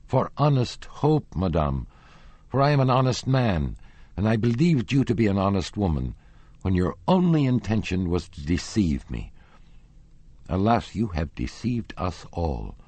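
A man's voice: sits low, big pitch swings, moderate pace, a little mellow, husky, no echo, exaggerated voice. A man reading the part of a jealous young nobleman, voice rising and accusing in heated outbursts. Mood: bitter. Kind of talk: audiobook character reading.

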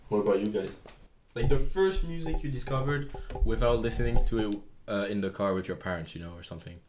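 A man's voice: fairly low pitch, fast, music in the background. A young man from France telling a rambling story on a student podcast with many fillers. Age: young adult. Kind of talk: casual podcast chat.

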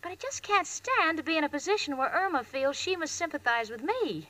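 A woman playing a sensible, wry, exasperated young roommate who narrates a 1940s radio comedy with crisp comic delivery. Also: sits high, expressive, brisk, sharp tone. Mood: wry exasperation.

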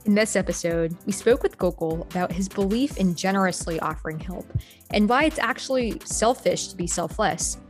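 A woman co-hosts a podcast and reads its scripted opening in a smooth, steady way. Soft music plays faintly behind her. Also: medium pitch, moderate pace. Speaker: podcast co-host. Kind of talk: scripted show intro.

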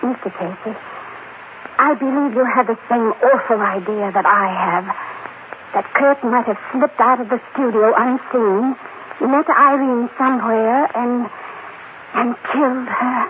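A woman's voice: on the high side, a little slow, natural voice. A woman playing an anxious, refined woman in an old radio drama, speaking earnestly and politely with some hesitation. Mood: uneasy, suspicious. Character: anxious, refined woman worried about her missing cousin. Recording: noisy, narrow-band old radio broadcast.

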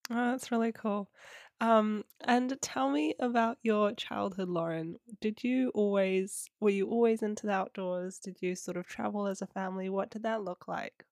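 A woman's voice: mid-range voice, steady tone, moderate pace, darkish tone, dry recording, studio quality. A young woman hosting a travel podcast, upbeat and reading a scripted intro and ad. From New Zealand. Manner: upbeat, listing things in short phrases. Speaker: podcast host. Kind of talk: scripted intro and ad read, then casual interview.

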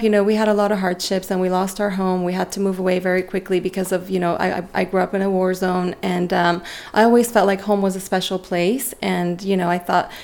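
A woman in her forties who grew up speaking French answers as an interview guest. Her voice sits at a middle pitch with a creaky edge and stays fairly flat in melody. She speaks in a reflective, earnest, conversational way. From Lebanon and Montreal, Canada. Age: 40s. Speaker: interview guest, real estate agent. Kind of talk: conversational personal interview storytelling.

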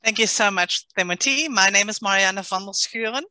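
A woman academic introducing herself on a panel, thoughtful and deliberate. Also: mid-range voice, sharp tone, dry recording. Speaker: panelist, transport engineering academic.

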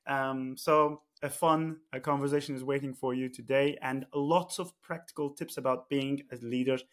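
A man's voice: medium pitch, fairly even intonation, moderate pace. A man hosting a podcast interview, upbeat and chatty, asking personal questions. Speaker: podcast host.

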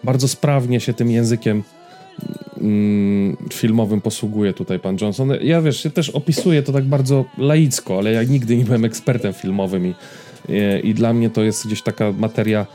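Polish man, lowish pitch, dark mellow tone, casual podcast co-host, informal explanatory delivery, light music behind. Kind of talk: casual pop-culture podcast chat.

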